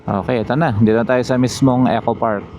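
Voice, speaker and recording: Clear vehicle and outdoor noise sits behind a man with a Filipino accent. His voice is medium in pitch. He talks casually to his viewers as a motovlogger, dropping English words into his sentences. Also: steady tone, fairly quick, dull audio. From Philippines. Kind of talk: casual vlog narration to viewers, mixing English words into talk. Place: outdoors, riding a motorcycle. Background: vehicle and outdoor ambient noise.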